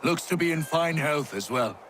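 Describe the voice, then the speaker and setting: An actor playing a blunt but kindly colonial country doctor in a video game, wry and plain-spoken. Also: male voice, on the high side, animated, moderate pace, fairly bright tone, a little hiss or hum. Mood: wry, relieved.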